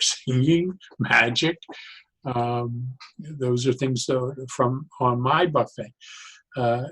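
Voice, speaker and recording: A man speaking as an interview guest, explaining his ideas conversationally in a deliberate, halting way and repeating key phrases. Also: mid-range voice, husky, low-quality recording. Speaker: interview guest, psychologist and joyologist.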